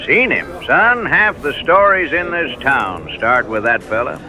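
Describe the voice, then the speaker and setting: An actor playing an excitable, yarn-spinning frontier townsman in a radio drama, folksy and emphatic. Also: mid-range voice, unhurried, faint music behind, full character voice. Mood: folksy, admiring.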